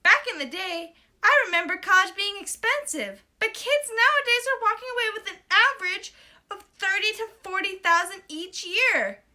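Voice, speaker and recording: A woman voicing a concerned, brisk female puppet presenter who hosts a scripted show in an emphatic, declamatory way. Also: extremely high pitch, expressive, sharp tone, exaggerated voice. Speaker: host.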